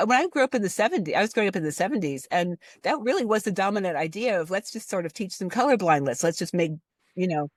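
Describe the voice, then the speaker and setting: A woman in her fifties from Cleveland, a guest expert talking casually, her pitch jumping high when she stresses a point. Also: dry recording, clean studio sound. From Cleveland, Ohio. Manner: animated, pitch jumps high for emphasis. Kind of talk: casual conversational discussion.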